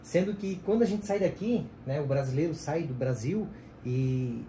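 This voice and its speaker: A man's voice: a little high, normal speed, warm tone. A man chatting casually on a podcast, with stammering restarts and easy laughter. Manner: laughing, slightly stammering chat. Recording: roomy echo with faint hiss.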